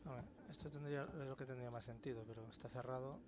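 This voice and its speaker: A man's voice: mid-range voice, fairly even intonation, quick pace. A man with an accent from Spain giving casual gameplay commentary, thinking aloud and swearing as he goes. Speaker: gamer narrating a let's play. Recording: clear game noise behind voice. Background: video game ambience.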